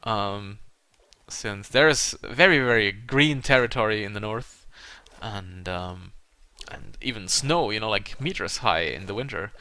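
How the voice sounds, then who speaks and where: A man's voice: on the low side, bright, forceful tone, no room sound. A man from Germany with a German accent and German as his native language, chatting casually and hesitantly as he hosts a gaming video, rambling along with self-conscious asides. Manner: hesitant, halting, chuckles at himself. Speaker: Let's Play gaming video host.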